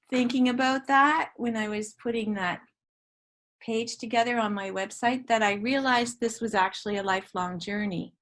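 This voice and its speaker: An older woman whose first language is Dutch speaks in an interview with a mid-range voice. She is earnest and reflective and often pauses and restarts her sentences. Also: mid-range voice, a bit bright. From Ontario, Canada. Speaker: guest educator.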